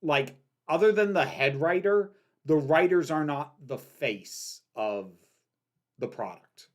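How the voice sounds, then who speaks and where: A woman's voice: low voice, lively intonation, takes it slowly. Chatty woman hosting a livestream. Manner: chatty, candid, frequent ums and restarts. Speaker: livestream host. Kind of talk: casual livestream Q&A, reading chat questions.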